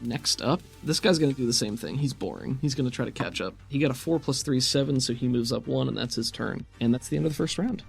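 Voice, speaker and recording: A man's voice: mid-range voice, expressive, fast talker, creaky, few pauses, breathy edge, faint music behind. A man running a tabletop roleplaying game, explaining rules and narrating the action in an informal, conversational way. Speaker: game master.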